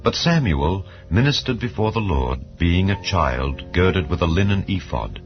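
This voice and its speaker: A man narrating scripture as a dramatized reading, in a solemn, formal and measured storytelling manner. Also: sits low, very animated. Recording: muffled lo-fi audio, music bed. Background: background music.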